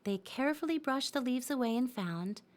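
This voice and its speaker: A woman reads a children's storybook as narrator. Her voice is on the high side and very animated, rising and falling through simple, expressive sentences. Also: normal speed.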